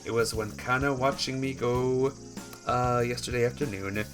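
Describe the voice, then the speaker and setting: A man live-streaming a visual novel, reading the text aloud and breaking off for casual, halting asides to his viewers. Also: mid-range voice, steady tone. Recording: music behind the voice.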